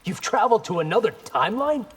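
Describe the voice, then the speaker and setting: Curious young male fighter, game. High, animated, informal, boyish eagerness. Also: fast, big-room sound, a little hiss or hum. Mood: curious, casual. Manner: casual, eager. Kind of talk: video game fight dialogue.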